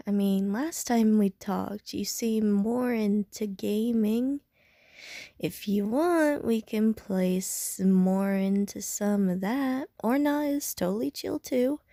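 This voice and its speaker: A woman's voice: medium pitch, takes it slowly, warm tone, no room sound. A woman playing a casual, slightly prickly young gamer in an audio roleplay, talking hesitantly and with dry self-mockery to the listener.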